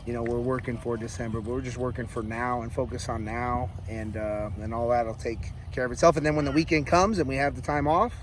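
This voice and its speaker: A man of about forty, a high school coach, giving casual interview answers. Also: fairly even intonation, brisk, few pauses, slight background noise. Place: outdoors by a sports field. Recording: outdoor field recording with background voices.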